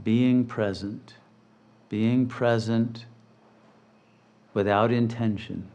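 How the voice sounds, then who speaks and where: Male meditation guide, mid-pitched, very unhurried, soothing and deliberate, leaving long pauses. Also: steady tone, darkish tone, highs cut off. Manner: measured, deliberate, soothing phrasing. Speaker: meditation teacher.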